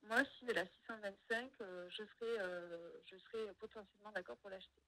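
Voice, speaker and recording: A woman's voice: mid-range voice, a little fast, pushed and bright. A woman real estate agent on a phone call, hesitant and stammering, answering with caution.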